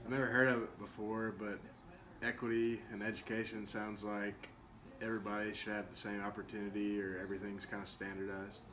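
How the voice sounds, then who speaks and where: Young male student; low, even, matter-of-fact read. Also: fairly quick, noisy background. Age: young adult. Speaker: student interviewee.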